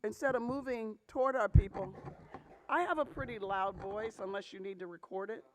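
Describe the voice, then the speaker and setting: A woman's voice: mid-range voice, normal speed, background noise. A woman addressing a group of pastors in an emphatic talk, often checking in with "okay?" and asking rhetorical questions. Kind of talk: emphatic talk to a church audience.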